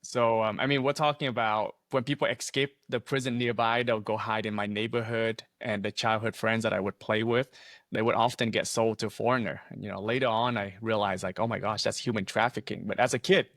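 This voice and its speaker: A man's voice: fairly low pitch, steady tone, brisk, fairly bright tone, no room sound. Vietnamese-born man, 30s, earnest guest on a video call, frequent fillers, dry close sound. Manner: earnest, laughs often, many fillers and restarts. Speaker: guest, insurance agency owner. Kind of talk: casual interview storytelling. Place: small home office, indoors.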